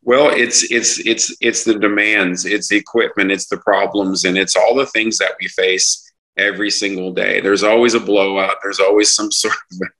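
A man talking as a truck driver guest in an interview, earnest and halting, with many restarts. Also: fairly low pitch, flat delivery, pushed and bright, rough recording. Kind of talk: casual interview talk.

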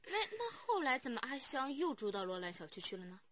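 A fast, bright voice with a husky edge belongs to young policewoman in a radio drama. She questions insistently yet soothingly, repeating herself to coax an answer. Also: sits high, sing-song, no echo, full character voice. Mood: attentive. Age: young adult. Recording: slightly dull studio radio drama sound.

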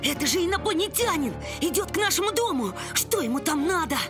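A woman's voice: very high-pitched, big pitch swings, brisk. A woman voicing an eager, imaginative little boy dog in a children's cartoon. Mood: alarmed, fierce. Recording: music behind the voice.

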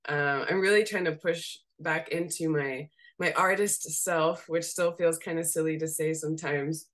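Young woman, low voice, bit raspy today, laughs a lot, chatting on a video call from home. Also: normal speed. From Seattle. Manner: laughs often while talking, relaxed and candid. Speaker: guest, graphic designer. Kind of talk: casual interview chat. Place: home room.

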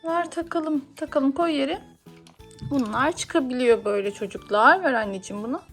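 A woman's voice: sits high, normal speed, over music. A woman playing toys with her young son, narrating playfully for children and encouraging him with questions.

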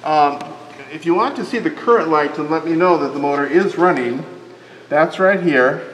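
Older guy explaining organ buttons, slightly high voice, long church echo, faint room hum. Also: normal speed, fairly bright tone. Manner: plain, patient step-by-step explaining. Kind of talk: casual explanation. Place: large church sanctuary. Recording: reverberant church hall with faint ambience.

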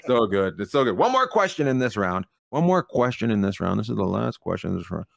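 A man in his forties hosting a comedy panel over a video call, with a gravelly edge to his voice. His pitch swings widely as he teases and mocks his guests. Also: sing-song, a little fast, no room sound. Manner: teasing, mocking. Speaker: comedy show host.

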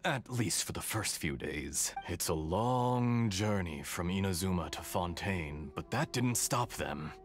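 An actor playing a suave, scheming male villain in a game cutscene, smooth and condescending, with music underneath. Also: low voice, lively intonation, a little slow, few pauses. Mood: sly. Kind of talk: video game voice acting.

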